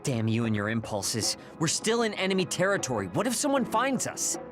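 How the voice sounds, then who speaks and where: Prickly high-voiced young soldier. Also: male voice, wildly swooping pitch, a little fast. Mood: irritated, urgent. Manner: irritable, blunt. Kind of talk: English video game voice acting. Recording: loud game music behind.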